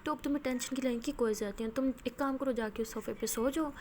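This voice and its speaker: Brief: woman reading a teasing, affectionate young woman, higher-pitched, faint background noise. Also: a little mellow, lightly acted.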